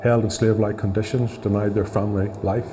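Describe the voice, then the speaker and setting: A man, explaining his work with trafficking victims in an earnest, deliberate documentary interview. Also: on the low side, level tone, noisy background. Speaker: support worker for trafficking victims. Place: office interview setup. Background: soft background music.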